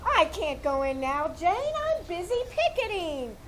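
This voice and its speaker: A woman's voice: very high voice, big pitch swings, a little slow, a little hiss or hum, heavily acted. A woman playing a stubborn, blunt housemaid. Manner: blunt, obstinate and bossy. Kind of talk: 1940s radio comedy acting, live on stage. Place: small theater stage, live performance.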